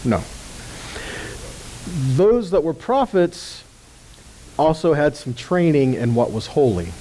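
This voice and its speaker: Mellow-voiced man teaching scripture. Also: on the high side, big pitch swings, slow pace, slight background noise, poor audio. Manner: conversational, checks in with listeners with "Okay?". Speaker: ministry teacher.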